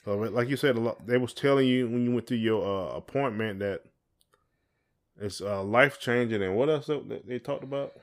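A man's voice: medium pitch, normal speed. A man hosting a casual podcast chat, talking in an informal, colloquial way. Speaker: podcast host.

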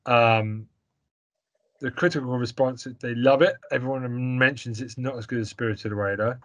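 A young man talks loosely and at length, stacking fillers and restarting phrases as he goes. His pitch sits in an ordinary middle range for a man, and he holds strong opinions with a chatty, unpolished delivery. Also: moderate pace. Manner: opinionated and rambling, with many fillers and repeats. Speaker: podcast co-host. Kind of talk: casual chat reviewing a film.

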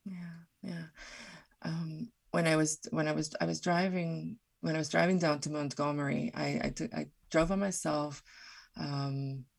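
A woman, a guest on a podcast, talking reflectively and haltingly in a casual conversation. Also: low-pitched, fairly even intonation, vocal fry. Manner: halting, reflective, many fillers and restarts.

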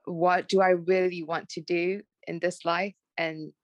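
A woman's voice: fairly low pitch, little pitch movement, a little slow, dry recording, lo-fi sound. Young Malaysian woman, filler-heavy chat. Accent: Malaysian with British and American traces. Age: young adult. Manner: rambling, many "like" and "um" fillers, self-restarts. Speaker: guest, fashion graduate. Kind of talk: casual podcast interview.

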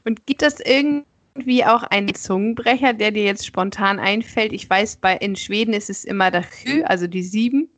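A woman's voice: medium pitch, moderate pace, fairly bright tone, no echo. A woman hosting a casual interview in a chatty, curious, giggly manner. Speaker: podcast host.

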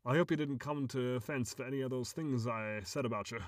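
A man voicing a tiny old leprechaun for a storybook tale, with a gruff voice and folksy, old-fashioned phrasing. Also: medium pitch, a little fast, no echo, clean studio sound, close to an everyday voice. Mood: grateful. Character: tiny old male leprechaun, scrappy and pleading.

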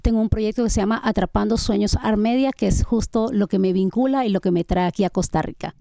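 A woman's voice: medium pitch, fast talker, creaky, mellow, no room sound, studio quality. A woman speaking as a panelist in a discussion, articulate and composed. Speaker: panelist, art media project lead.

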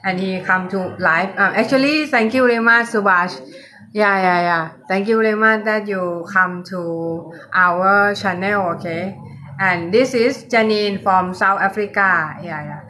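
A woman with a Thai accent hosting a casual livestream chat. She speaks in halting English and keeps repeating "yeah, yeah, yeah.". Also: medium pitch, bright, forceful tone. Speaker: livestream host.

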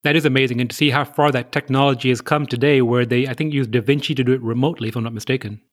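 A man from Southeast London hosts an interview podcast. He reads his guest's introduction and then asks questions in an easy, conversational manner. His voice sits at a middle pitch. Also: steady tone, very fast. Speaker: podcast host.

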